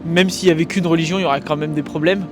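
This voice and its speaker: Young guy, kinda high voice, hesitant, music playing behind. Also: fairly even intonation, very fast. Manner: thoughtful, hesitant. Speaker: passer-by interviewee. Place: busy city square outdoors.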